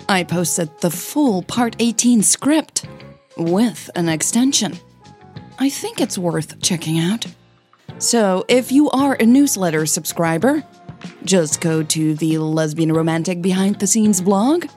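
A woman's voice: medium pitch, a little mellow. A woman writer narrating her own audio drama series and addressing listeners in a scripted podcast style.

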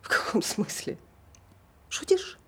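An actress playing a tipsy, nervous married woman on stage, talking in an awkward, self-justifying way with a husky voice. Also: slow pace, a little hiss or hum, close to an everyday voice. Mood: uneasy disbelief. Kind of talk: naturalistic stage acting, monologue.

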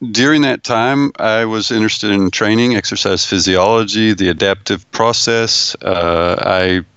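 A man's voice: on the low side, a little slow, fairly bright tone, clear, resonant tone, dry recording, highs cut off. A man in his fifties, a sports science professor, answering interview questions in a thoughtful way with restarts and small laughs.

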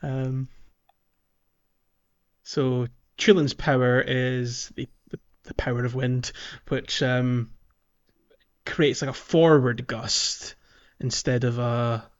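A man's voice: medium pitch, steady tone, slow. Slightly muffled man chatting about gaming. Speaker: podcast host. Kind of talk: casual video game discussion.

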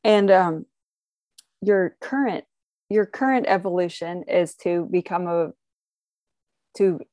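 A woman's voice: lowish voice, slow, darkish tone, no echo. A woman hosting a radio interview, asking questions in a friendly, chatty way. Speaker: radio host.

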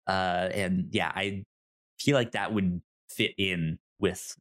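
A man co-hosting a review podcast in casual chat, with a halting, thinking-aloud delivery. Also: low-pitched, fairly even intonation, a bit bright. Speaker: podcast co-host.

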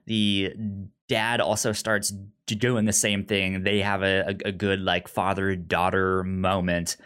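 Slow-paced man, halting and stammering. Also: deep voice, little pitch movement, a bit bright, clear, resonant tone. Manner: halting, stammering with repeated words. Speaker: podcast co-host. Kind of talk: casual film discussion.

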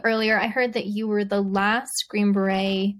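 Woman interviewer, encouraging and thoughtful, mid-pitched. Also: steady tone, moderate pace. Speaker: interview host. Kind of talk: casual interview.

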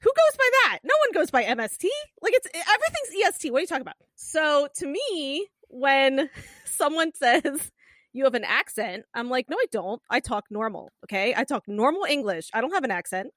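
An American woman with an East Coast accent chats on a podcast, her voice fairly high and swinging lively up and down as she tells a story and laughs. The sound is close and dry. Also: brisk, no room sound. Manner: laughs often, animated storytelling. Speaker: podcast co-host. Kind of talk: casual chat.

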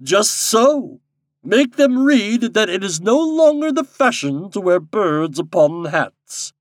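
A man's voice: high voice, very animated, slow pace, no echo, clean studio sound, voice pushed up, heavily acted. A man voicing a wise, stern fairy king who instructs with authority in a storybook. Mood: instructive, assured.

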